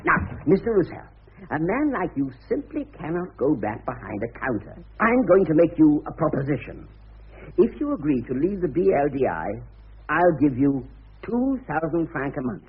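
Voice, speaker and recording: A man's voice: fairly high pitch, big pitch swings, moderate pace, husky, narrow, tinny audio, exaggerated voice. An actor playing a glib, exuberant, scheming publicity man in a radio drama, theatrical and persuasive. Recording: band-limited old radio broadcast.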